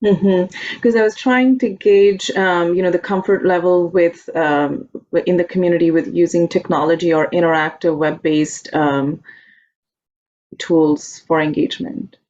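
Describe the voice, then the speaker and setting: A woman's voice: lowish voice, fairly even intonation, unhurried, a little mellow. Woman on a dull, noisy call line. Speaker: consultant asking questions as prospective bidder. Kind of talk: question on a conference call.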